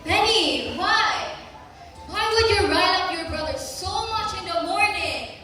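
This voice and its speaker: A teenage girl acting a tired sister-in-law who scolds and pleads for calm on a school stage. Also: very high-pitched, a little slow, heavily acted. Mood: scolding. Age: teens. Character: weary sister-in-law trying to keep the peace. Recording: echoey hall, far from the mic, noisy.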